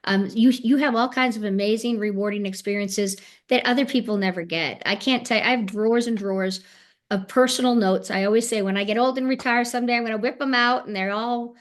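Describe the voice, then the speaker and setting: A woman's voice: fairly high pitch, a little fast. Woman tour director chatting on a video call, earnest and fond, lots of um and you know. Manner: earnest, fond storytelling with fillers. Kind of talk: casual webinar chat.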